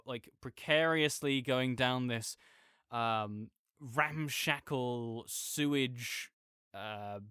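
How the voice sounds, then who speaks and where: A man's voice: mid-range voice, a bit bright, clean tone, no echo, clean studio sound. A man playing a chatty, nerdy roommate who rambles enthusiastically about a video game in a casual, digressive audio roleplay monologue.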